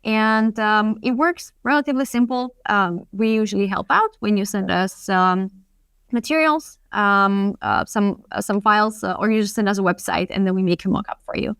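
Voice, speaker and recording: A customer success lead answering questions in a webinar, reassuring and full of small ums and restarts. Her voice is smooth and clear, recorded dry and close over a video call. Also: mid-range voice, normal speed, fairly bright tone, no room sound.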